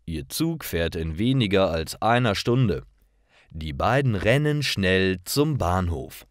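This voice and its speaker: A man reads simple stories for language learners. His voice is fairly low and very slow, with lively intonation. Each sentence is carefully set apart. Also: no echo, studio quality. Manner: careful, clearly separated sentences. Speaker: narrator. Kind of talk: scripted story narration for language learners.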